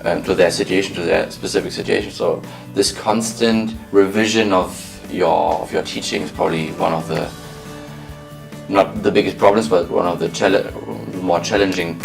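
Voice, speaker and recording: A man's voice: low-pitched, fairly even intonation, moderate pace, creaky. A man from Germany, a native German speaker with a German accent, teaching English and giving an interview testimonial. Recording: distant mic, faint music. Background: faint music.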